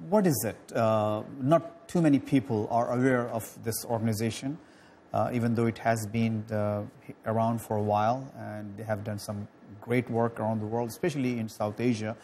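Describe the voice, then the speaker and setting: Halting male talk show host. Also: lowish voice, steady tone, darkish tone, slight background noise. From Pakistan. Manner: halting, many fillers and restarts. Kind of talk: studio interview.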